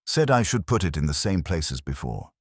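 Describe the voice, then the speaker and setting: Man acting young delivery guy, shy, clipped simple phrases, low pitch, dry audiobook sound. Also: sing-song, fairly quick, husky, no room sound, heavily acted.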